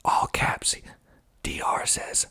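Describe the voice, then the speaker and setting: A man whispering breathily in ASMR style into a close mic, reading aloud slowly and deliberately with dry asides. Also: sharp tone. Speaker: filmmaker and ASMR video host. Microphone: close mic with pop filter.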